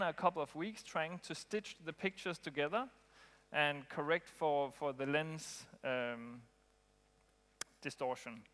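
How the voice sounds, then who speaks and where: A man's voice: on the high side, clean tone. Man speaking in an echoing hall. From Denmark. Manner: relaxed, with frequent ums and pauses. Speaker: conference speaker. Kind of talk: conference talk. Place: conference hall. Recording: long hall reverb.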